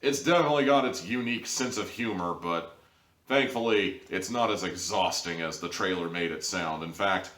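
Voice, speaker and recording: A man's voice: sits low, moderate pace, fairly bright tone. A man giving a casual, opinionated review in an emphatic, wry, conversational way. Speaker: audio drama reviewer, host. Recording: roomy, distant microphone.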